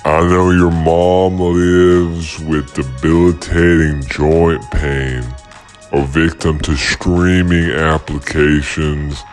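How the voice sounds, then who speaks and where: A man playing a self-regarding, eccentric doctor on a comic radio call-in, slow and theatrical, savoring odd phrases. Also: rumbling low, exaggerated voice. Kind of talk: absurdist comic radio play.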